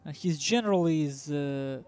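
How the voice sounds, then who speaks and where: A man with a Russian accent casually commentating a strategy game match, talking haltingly with many ums and uhs and small laughs. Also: fairly high pitch, darkish tone. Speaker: esports commentator.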